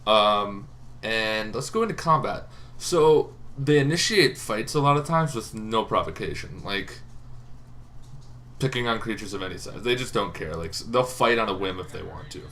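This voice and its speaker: A man's voice: lowish voice, expressive, moderate pace, a bit bright. A young man casually explaining tabletop game monsters, rambling with frequent ums and restarts. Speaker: tabletop game video host.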